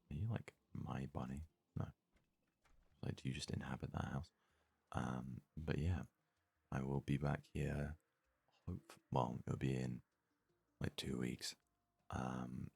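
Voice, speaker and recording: Man, ASMR game host, heavy vocal fry, hushed casual musing, dry close-mic sound. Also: a bass voice, no room sound. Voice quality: gravelly, husky, hushed. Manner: casual, musing aloud to himself. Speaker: let's play host. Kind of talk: ASMR gaming commentary. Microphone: close microphone.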